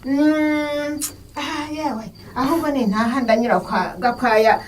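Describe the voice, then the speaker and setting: A woman's voice: high voice, unhurried, slight background noise. A woman playing a stubborn, scolding elderly village mother in a radio drama, speaking insistently and exclaiming. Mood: grudgingly curious.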